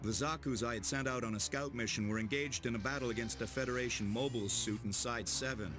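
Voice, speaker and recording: An actor playing a cool, controlled military commander making a formal battle report in a video game. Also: male voice, mid-range voice, quick pace, music in the background, close to an everyday voice. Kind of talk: video game cutscene acting.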